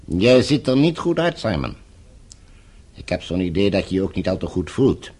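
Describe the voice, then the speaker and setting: An actor playing a falsely courteous, menacing captor and regime agent in a Dutch radio drama, speaking in measured, formal, condescending phrases. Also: male voice, deep voice, sing-song, darkish tone, husky, exaggerated voice. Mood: coolly menacing.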